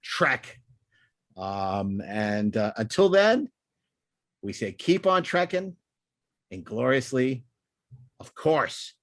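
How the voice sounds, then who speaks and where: A man's voice: mid-range voice, very animated, slow, long pauses, dry recording, studio quality. A man hosting a podcast in casual, enthusiastic chat, rambling with repeated words and stop-start phrasing. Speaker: podcast host.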